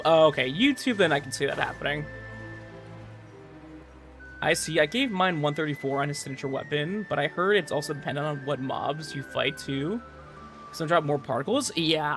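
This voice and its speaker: A man with a fairly high voice hosts a casual gaming livestream. He talks conversationally and a bit haltingly, repeating words as he answers chat, with game music playing behind him. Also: gravelly, a bit bright. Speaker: livestream host, gamer.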